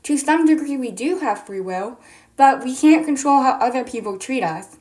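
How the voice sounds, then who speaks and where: A young woman with a high voice, speaking earnestly and insistently to camera in an opinion vlog, pressing her points with indignant questions. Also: animated, moderate pace, a little mellow, rough recording. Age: young adult. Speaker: TikTok creator.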